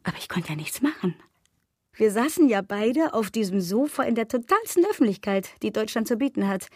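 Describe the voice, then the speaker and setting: A woman acting in a radio drama monologue as a bitter, betrayed agency employee, narrating her thoughts with measured irony. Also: fairly high pitch, big pitch swings, a little mellow, no room sound, studio quality. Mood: bitter, stunned. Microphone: close, dry studio microphone.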